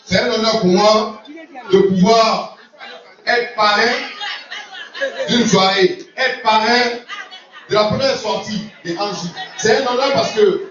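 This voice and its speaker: A man's voice: high-pitched, slow pace, long pauses. A man giving a jovial, laughing speech as sponsor at a community gala, often repeating himself. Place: large event hall. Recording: roomy, distant, lo-fi recording with crowd. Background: crowd of guests.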